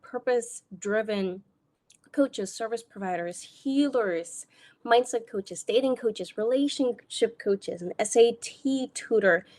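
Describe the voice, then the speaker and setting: Woman, American accent, from Germany, business coach, energetic, direct, casual live talk. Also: medium pitch. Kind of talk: solo live video talk.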